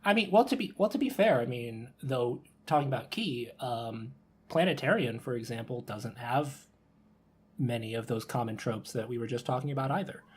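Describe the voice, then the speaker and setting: A man's voice: mid-range voice, moderate pace, slight background noise. A man in a casual podcast discussion, chatty, often backtracking and repeating his phrases. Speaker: podcast panelist. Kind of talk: casual discussion of visual novels.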